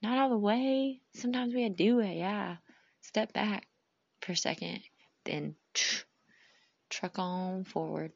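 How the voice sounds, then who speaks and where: A woman hosting a podcast, talking in a rambling, heartfelt way and often dropping to a soft whisper. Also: mid-range voice, takes it slowly, creaky, no room sound, lo-fi sound. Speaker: podcast host. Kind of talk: casual reflective monologue.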